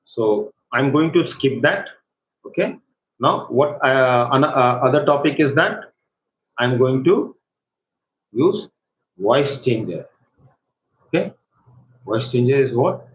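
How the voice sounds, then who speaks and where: An Indian-accented man leads a hands-on software lesson in an echoey room. His delivery is halting and dotted with little check-in questions. The sound is dull and lo-fi, with faint noise behind him. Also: medium pitch, lively intonation. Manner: halting, with frequent tag questions seeking agreement. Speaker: workshop instructor. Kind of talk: live software tutorial, explaining step by step.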